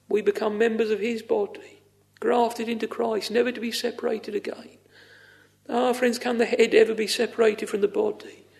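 A man's voice: very high-pitched, creaky. A man preaching a fervent extempore evangelical sermon, exclamatory, repeating phrases for emphasis with sighing interjections.

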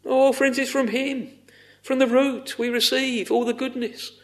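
A man's voice: extremely high pitch, flat delivery, measured pace. A man preaching a fervent extempore evangelical sermon, exclamatory, repeating phrases for emphasis with sighing interjections.

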